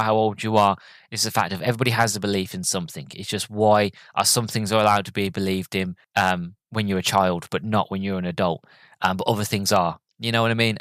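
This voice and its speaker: A man's voice: fairly low pitch, a bit bright, no room sound. A man hosting a podcast, chatting casually about a movie, slightly groggy with a cold and rambling with many restarts and ums. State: has a cold, a bit groggy. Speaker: podcast host. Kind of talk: casual solo movie review chat.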